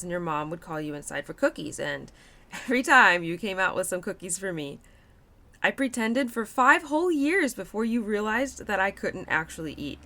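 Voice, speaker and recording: Woman acting as female nature spirit, very lively swooping intonation, mid-pitched, playful, affectionate, chatty, expressive, audio roleplay girlfriend. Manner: expressive, chatty, teasing, with laughs and sighs. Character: playful, affectionate female autumn spirit, protective childhood friend.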